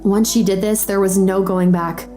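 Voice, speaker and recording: Woman story narrator, warm dark tone, dramatic scripted read over light music. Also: medium pitch, fairly even intonation, moderate pace.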